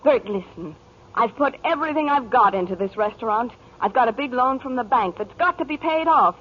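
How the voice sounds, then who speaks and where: Determined American working mother, radio drama, earnest and polite, very expressive pitch, on a dull old recording. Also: a little fast. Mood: earnest, firm. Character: determined, hard-working businesswoman and devoted mother. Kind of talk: 1940s radio acting.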